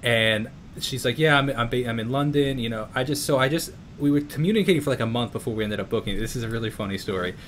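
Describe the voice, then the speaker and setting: A man's voice: mid-range voice, fast talker, few pauses, fairly bright tone, slight background noise. Chatty New York man hosting a call. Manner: chatty, rambling, many restarts and fillers. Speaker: interviewer, YouTube music channel host. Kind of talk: casual video-call interview. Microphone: video call.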